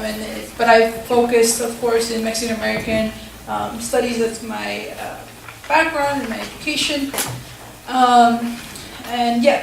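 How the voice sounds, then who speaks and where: Young woman, casual speech with fillers, slightly high voice, distant mic, very echoey hall. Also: vocal fry, slight background noise. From Tucson, Arizona. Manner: casual, many fillers and tag questions. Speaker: teacher and community organizer. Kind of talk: community forum speech. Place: school hall.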